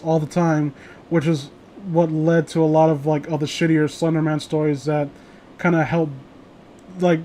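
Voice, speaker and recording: Male host, fairly high voice, loose sweary banter over light background noise. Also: a little mellow. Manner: rambling, foul-mouthed, joking. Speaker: host of a horror story reading show. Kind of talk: casual chat and commentary on stories. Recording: noisy home recording, clear background hiss.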